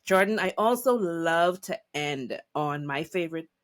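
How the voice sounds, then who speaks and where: A woman's voice: lowish voice, animated, measured pace, no room sound, studio quality. A woman from the Bahamas hosting a podcast interview, effusive and encouraging in casual chat. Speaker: podcast host.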